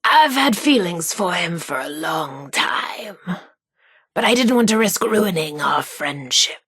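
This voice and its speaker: An actress with a husky, breathy voice, playing a shy but sassy, lovestruck radio DJ in a video game. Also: female voice, lowish voice, sing-song, slow pace, a bit bright, heavily acted. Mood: earnest. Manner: informal, slangy, hesitant about feelings. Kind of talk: video game character dialogue.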